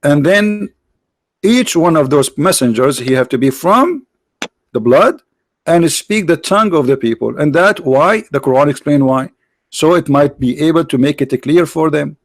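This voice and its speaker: A man hosting a debate, arguing forcefully and repeating his points in broken English. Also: a little high, expressive, normal speed, dry recording. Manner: forceful, repetitive, nonnative English grammar. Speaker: debate host. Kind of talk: argumentative debate on a live call.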